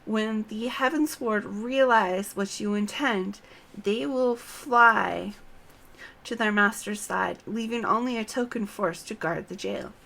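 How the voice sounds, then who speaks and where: A woman voicing a resolute female knight commander in a fantasy game, formal and measured as she briefs her troops. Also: mid-range voice, gravelly, fairly bright tone. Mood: resolute, commanding. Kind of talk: read-aloud fantasy game dialogue.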